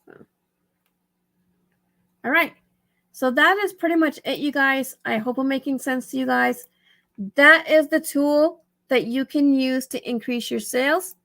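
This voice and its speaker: Raspy, higher-pitched woman thinking aloud. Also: unhurried, pauses often, fairly bright tone. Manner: rambling, thinking aloud, self-correcting. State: tired, dry-throated. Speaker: vlogger giving reselling tips. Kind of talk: casual screen walkthrough tutorial.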